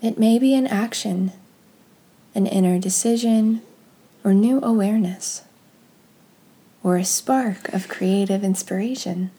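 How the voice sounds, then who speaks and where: A woman with a soft, breathy, husky voice reads scripture and leads guided prayer in a hushed, unhurried, meditative way. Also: medium pitch.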